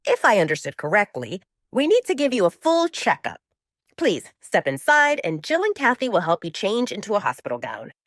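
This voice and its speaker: A woman's voice: medium pitch, wildly swooping pitch, normal speed, dry recording, studio quality, pitched above the performer's own voice. A woman voicing a friendly, polite young male doctor in an audiobook romance, deferential and professional in manner. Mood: friendly, courteous. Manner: courteous, deferential. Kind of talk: audiobook romance drama acting.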